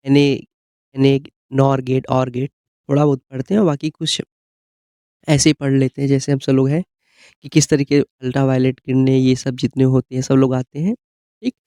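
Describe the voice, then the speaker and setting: A man gives an informal explanatory exam-prep lesson, often pausing to check that listeners follow. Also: mid-range voice, warm tone, no echo, clean studio sound. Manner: repeated check-in tags like "okay?".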